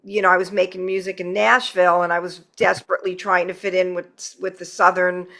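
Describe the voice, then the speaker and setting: A woman's voice: on the low side, level tone, a little fast, gravelly, pushed and bright. A woman with a Long Island accent hosting a casual, chatty interview. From Long Island, New York. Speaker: podcast host.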